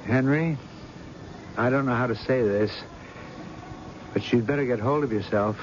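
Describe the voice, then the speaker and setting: An actor playing a weary, principled family friend in a radio drama, earnest, reluctant and cautioning. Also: male voice, mid-range voice, moderate pace, natural voice. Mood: exasperated, warning. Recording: dull old radio broadcast, faint noise.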